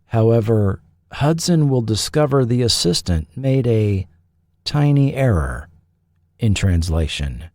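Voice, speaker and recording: Slow-paced male narrator in a dry studio. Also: medium pitch, big pitch swings, a little mellow, dry recording. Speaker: podcast host and narrator. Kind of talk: scripted documentary narration.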